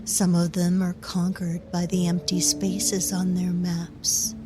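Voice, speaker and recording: A woman performing as a solemn storyteller, giving measured, grave scripted narration for a dark fairy-tale drama. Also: fairly low pitch, completely flat delivery, over music. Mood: grave, hushed.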